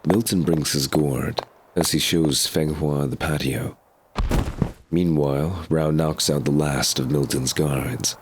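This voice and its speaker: A man's voice: rumbling low, fairly even intonation, takes it slowly, background noise. A man reading scripted narration for an audio drama.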